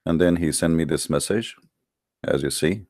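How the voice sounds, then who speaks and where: Male online debate host, non-native English speaker, low-pitched voice, dry close sound, informal and confrontational delivery. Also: moderate pace, a little mellow, no echo. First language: not English. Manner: challenging, confrontational, with rhetorical questions. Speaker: host of an online religious debate call-in show. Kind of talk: informal polemical monologue to live online audience.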